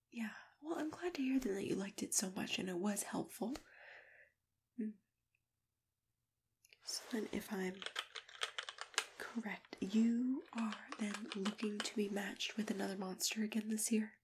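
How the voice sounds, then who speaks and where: A woman playing a kindly, attentive matchmaker in a soft, gentle ASMR roleplay, often dropping into whispers as she talks unhurriedly to a client. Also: medium pitch, husky, full character voice. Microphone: close ASMR microphone.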